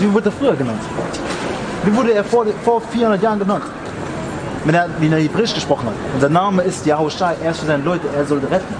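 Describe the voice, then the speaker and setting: Man preaching on a noisy street. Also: sits high. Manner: earnest, questioning, mixes German and English. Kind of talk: street preaching, explaining scripture. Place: outdoors on a busy city street. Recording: loud street traffic noise.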